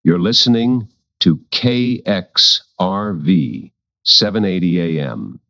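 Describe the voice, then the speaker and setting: An actor playing a seasoned, protective late-night radio host in a drama, with a firm, wry and deliberate delivery. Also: male voice, low voice, animated, creaky, bright, forceful tone, no echo, exaggerated voice. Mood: weary, solemn.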